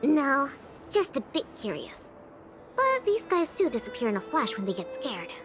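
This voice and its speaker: Shy teen girl researcher, high pitch, animated swings, hesitant, soft-hearted, curious, anime game dialogue over music. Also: normal speed, full character voice. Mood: curious, calm. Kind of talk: anime-style game voice acting.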